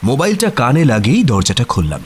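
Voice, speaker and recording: Lively detective's sidekick narrator. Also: male voice, mid-range voice, quick pace, a little hiss or hum. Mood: good-humoured, animated. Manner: expressive, wry, conversational. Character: detective's chatty, good-humoured male friend and narrator. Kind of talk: radio drama narration and acting.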